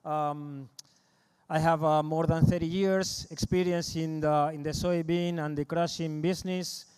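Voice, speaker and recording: Argentine man giving a halting conference talk. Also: on the high side, little pitch movement, darkish tone, clear, resonant tone. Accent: Argentine Spanish. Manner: halting, repeats words, friendly asides. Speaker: conference speaker. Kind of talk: conference presentation. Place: large conference hall.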